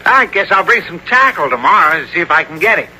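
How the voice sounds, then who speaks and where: Radio drama part: plain-spoken rural builder, friendly under the gruffness, higher register, clearly noisy vintage sound. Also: male voice, big pitch swings, fast talker, bright, forceful tone, exaggerated voice. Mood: gruff. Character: gruff but friendly rural construction workman. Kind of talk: 1970s radio drama acting. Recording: noisy old radio recording.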